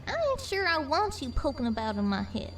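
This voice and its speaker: A woman's voice: sits high, huge pitch swings, brisk, far from the mic, crowd noise, heavily acted. A woman playing a skeptical, plainspoken young pony with a folksy Southern US accent in an audio drama. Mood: defiant, doubtful. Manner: folksy, blunt, doubtful. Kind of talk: fan audio drama acting.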